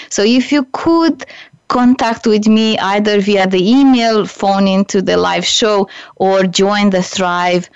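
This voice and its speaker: A woman from Romania hosts her own business show, speaking in a smooth, clear voice. She talks effusively and warmly, restarting phrases as she goes. The recording is dry and a little dull. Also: fairly high pitch, no room sound. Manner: warm, effusive, speaks slowly with frequent restarts and "like". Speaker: podcast host and business coach. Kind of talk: solo podcast monologue. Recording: dry, slightly dull studio sound.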